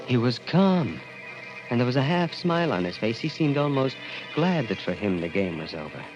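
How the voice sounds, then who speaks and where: An actor playing a haunted, thrill-addicted man who tells his own dark story in intense, confessional 1940s radio style. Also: medium pitch, sing-song, moderate pace, lightly acted. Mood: grave suspense. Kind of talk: 1940s radio acting, first-person narration. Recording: dull old radio recording. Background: dramatic music.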